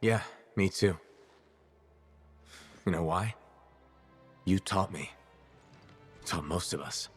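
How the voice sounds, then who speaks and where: An actor playing an earnest, loyal freelancer and peacemaker in a video game, with a conversational manner. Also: male voice, sits low, takes it slowly, pauses often, soft background music. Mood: earnest, urging. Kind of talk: dramatic video game cutscene acting.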